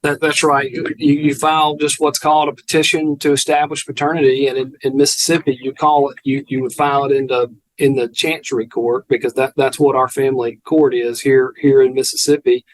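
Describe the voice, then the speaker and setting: A man with a Southern American accent, an attorney guest explaining legal steps haltingly, repeating words. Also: mid-range voice, little pitch movement, rough recording. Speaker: family law attorney, guest. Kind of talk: explaining legal procedure in conversation.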